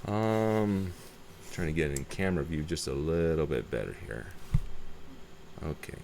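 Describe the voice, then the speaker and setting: A man with a low-pitched voice presents a casual hobby repair video. He thinks aloud and mutters to himself as he handles the parts. The camera microphone picks up noticeable background noise. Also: measured pace. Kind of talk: casual hands-on show-and-tell, unscripted. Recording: clear background noise and ambience.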